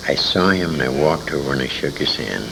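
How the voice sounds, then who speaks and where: An older man reminiscing in an interview, telling anecdotes in a rambling, halting way. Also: extremely low pitch, lively intonation, vocal fry. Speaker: interviewee, radio veteran. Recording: noisy amateur 1980s convention interview recording.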